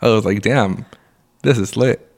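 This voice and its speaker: Young man with a sleepy raspy voice. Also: on the low side, unhurried, darkish tone. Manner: joking, laughs often, rambling storyteller. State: raspy from just waking up. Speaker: podcast co-host. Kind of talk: casual chat.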